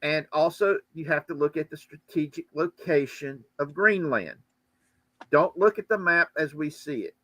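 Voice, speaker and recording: Bright-voiced man chatting as livestream host. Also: fairly high pitch, normal speed, dry recording. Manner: rambling, joking. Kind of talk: casual chat and political commentary.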